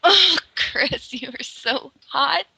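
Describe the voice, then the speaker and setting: Gushy flirty woman on the phone, high and super bright, dry sound. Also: expressive, takes it slowly, dry recording, low-quality recording. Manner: gushing, breathy, giggly. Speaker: prank caller in an archived phone call.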